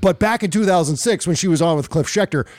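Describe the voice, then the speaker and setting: A man's voice: sits high, fast talker, a little mellow, dry recording. An American man hosting political talk, commenting in an emphatic, informal and profane way. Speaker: podcast host. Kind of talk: political commentary with casual chat.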